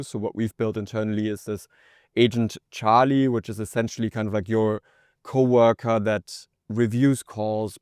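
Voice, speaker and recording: Low, clear-voiced man in a dry recording. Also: darkish tone, no echo. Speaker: startup co-founder, podcast guest. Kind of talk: conversational interview answer.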